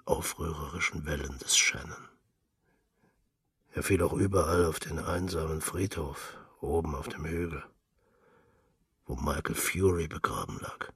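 A brooding gravelly storyteller for a radio play, really deep, solemn and melancholy. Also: male voice, fairly bright tone, no echo, studio quality, recorded from a distance, heavily acted. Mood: quiet, elegiac. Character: brooding, melancholy male narrator of a literary story. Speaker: narrator. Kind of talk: literary radio drama narration.

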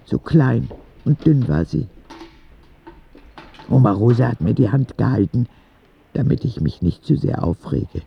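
Radio drama: earnest, confiding boy writing to God, very husky voice, lively intonation. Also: medium pitch, unhurried, long pauses, muffled recording, exaggerated voice. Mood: anxious. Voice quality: husky, gravelly. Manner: confiding, earnest, with naive directness. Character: ten-year-old sick boy writing candid letters to God. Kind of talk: intimate radio drama letter narration.

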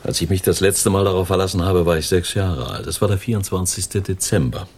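A deep-voiced, cynical middle-aged schemer. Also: male voice, a little fast, breathy edge. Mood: sardonic, guarded. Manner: sardonic, world-weary. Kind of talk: German radio crime drama acting.

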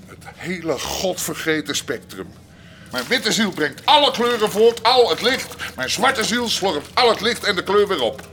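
An actor playing a boastful, messianic convict who preaches grandly and talks about himself by name in a radio play. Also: male voice, high-pitched, big pitch swings, unhurried, sharp tone, full character voice. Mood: grandiose. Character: burn-scarred, boastful, messianic male prisoner with fascist leanings. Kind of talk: Dutch radio play acting. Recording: noisy radio drama recording.

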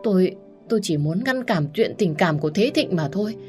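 A woman's voice: fairly low pitch, normal speed, mellow, faint music behind, lightly acted. A woman voicing a scheming older second wife who sobs and stammers as she pleads. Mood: pleading. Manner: sobbing, stammering.

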